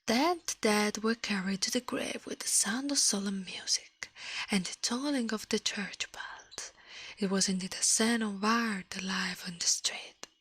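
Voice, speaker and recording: A woman reading a story aloud in a gentle, breathy ASMR whisper, halting now and then, close to the microphone. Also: mid-range voice, no room sound. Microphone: close microphone, dry sound.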